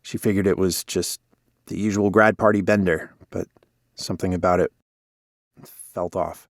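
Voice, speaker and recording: An actor playing a guilt-ridden, defensive former park warden in an audio drama, telling things in a weary, halting way. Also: male voice, low-pitched, fairly even intonation, gravelly, no echo, studio quality. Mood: somber, haunted. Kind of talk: cinematic audio drama acting, naturalistic.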